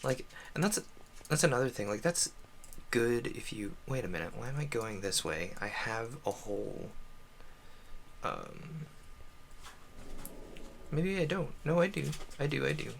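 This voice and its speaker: A man chatting casually and haltingly on a solo game livestream, rambling with self-deprecating asides. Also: medium pitch, lively intonation, gravelly, a little hiss or hum.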